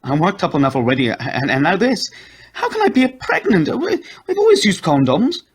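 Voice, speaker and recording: A man voicing an anxious, distraught young wife who pleads in a shrill, frantic way. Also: very animated, moderate pace, slightly rough, full character voice.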